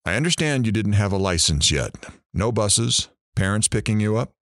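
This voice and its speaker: A low, swooping male voice in a dry recording. An actor plays a curious, teasing talk radio host bantering in an audio drama. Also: lively intonation, normal speed, fairly bright tone, no echo.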